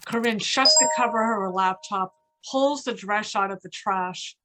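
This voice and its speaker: A woman's voice: medium pitch, moderate pace, noisy background. A woman reading stage directions as scripted narration for a play.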